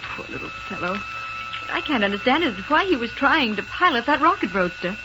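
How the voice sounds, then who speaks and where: An actress playing an anxious, tender and excitable young space heroine in an old radio serial. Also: female voice, a little high, normal speed. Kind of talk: 1930s radio serial acting. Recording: hissy old radio recording.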